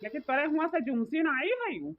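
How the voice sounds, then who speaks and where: A woman's voice: sits high, lively intonation, fairly quick. A woman as a nagging village mother-in-law. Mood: shouting, impatient. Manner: nagging, domineering. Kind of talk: comedy cartoon dialogue in rural dialect. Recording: muffled audio with clear background noise.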